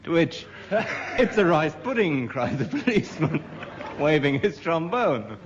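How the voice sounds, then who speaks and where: A man's voice: fairly high pitch, very animated, unhurried. A man, a comedy panellist improvising a comic story. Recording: dull radio recording, noise and audience.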